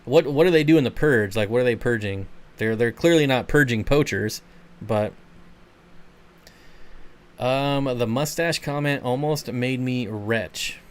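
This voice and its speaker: Laid-back man with a smooth voice. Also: medium pitch, a little slow, pauses often. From Michigan. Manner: laid-back, rambling, chuckles often. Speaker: channel host. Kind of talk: casual livestream chat, answering viewer comments.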